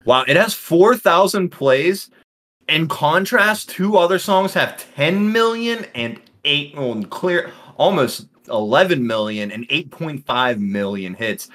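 A man co-hosting a casual music podcast, easygoing and thinking out loud. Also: on the high side, animated, slow pace. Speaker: podcast co-host. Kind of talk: casual chat.

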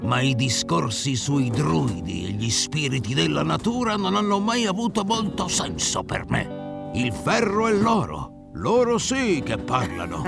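A gruff fantasy ship's captain , acted by a man, gravelly and proud. His pitch is on the high side and swings widely as he commands. Loud music plays behind him. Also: big pitch swings, unhurried, exaggerated voice. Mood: grave, wry. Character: gruff proud veteran ship captain and hunter. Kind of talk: fantasy audio drama acting.

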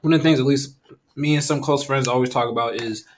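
A man from Haiti hosting a podcast, relaxed and chatty and quick to laugh. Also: mid-range voice, a little hiss or hum. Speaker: podcast host. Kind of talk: casual conversation.

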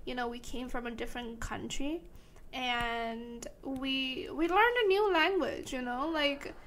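A woman's voice: sits high, measured pace, fairly bright tone. A young woman hosting a casual podcast, talking hesitantly with lots of fillers. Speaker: podcast host.

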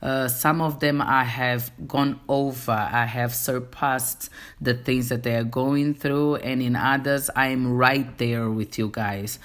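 A woman with a Portuguese accent, a native Portuguese speaker, hosting her own podcast and speaking earnestly straight to her listeners in a casual, faith-centered monologue. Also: very deep voice. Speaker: podcast host.

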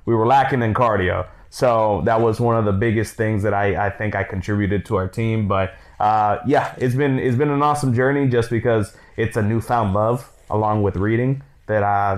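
A man in his thirties co-hosting a podcast, chatting casually with his wife in a rambling, laid-back way with many fillers. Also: on the low side. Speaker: podcast co-host.

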